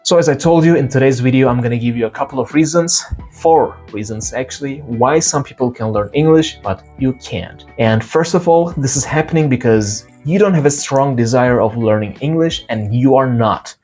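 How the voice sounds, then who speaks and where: A young man with a Turkish accent, English being his second language, talks straight to camera at an ordinary middle pitch. He is earnest and lively, acting out small scenes with quoted lines. A soft guitar track plays faintly behind him. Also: normal speed, few pauses. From Turkey. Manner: earnest, animated retelling of stories with quoted dialogue. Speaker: English learning channel host. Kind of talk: direct-to-camera motivational talk.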